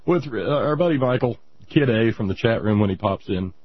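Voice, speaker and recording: Husky, sarcastic male talk-show host. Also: medium pitch, animated, fairly quick, muffled recording. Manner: sarcastic, rambling. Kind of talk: sarcastic political commentary.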